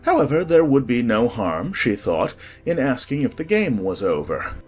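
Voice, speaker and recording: A man's voice: medium pitch, sing-song, normal speed, darkish tone, breathy edge, faint music behind. A man reading scripted storybook narration expressively.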